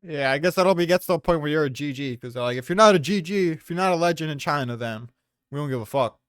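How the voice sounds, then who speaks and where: A man's voice: a little high, fast talker, fairly bright tone, clear, resonant tone, no room sound, studio quality. A man in a casual chat, arguing his points with offhand swearing.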